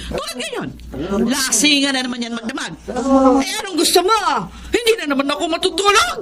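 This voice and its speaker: High, animated, aggrieved Filipina. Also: female voice, a little fast, a loud crowd. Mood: defiant, aggrieved. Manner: shrill, combative complaining. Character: defiant, aggrieved woman trapped with her partner. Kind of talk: Tagalog radio drama acting.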